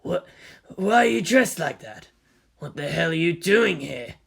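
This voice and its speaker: A woman's voice: sits low, very animated, a little slow, vocal fry, husky, full character voice. A woman voicing a wary, hostile male spy with a terse, scornful manner. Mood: alarmed. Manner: terse, scornful, hissing through teeth.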